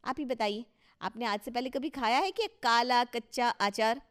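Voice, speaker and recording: A cheeky young daughter-in-law in a family cartoon, acted by a woman. Her voice is fairly high. She speaks politely but with a teasing, questioning manner. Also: moderate pace, fairly bright tone, clear, resonant tone, natural voice. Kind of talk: Hindi cartoon story dialogue.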